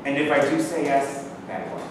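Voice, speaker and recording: A man's voice: fairly high pitch, level tone, normal speed, close to an everyday voice. A man playing a skeptical, wary soldier in a staged play reading. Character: skeptical young soldier, wary of his buddy. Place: bare indoor rehearsal room. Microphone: distant camera microphone. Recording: echoey room from far off, clear noise.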